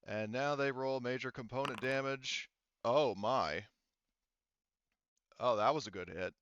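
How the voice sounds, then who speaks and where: A man acting as game master of a tabletop game, explaining rules casually in a halting, step-by-step way. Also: medium pitch, a bit bright, dull audio, a little hiss or hum.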